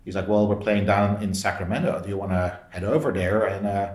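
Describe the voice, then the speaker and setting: Echoey low-voiced man telling casual stories. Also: steady tone, a little fast, darkish tone. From Belgium. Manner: informal, re-enacts dialogue with frequent "like". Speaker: podcast guest. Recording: roomy, echoey sound.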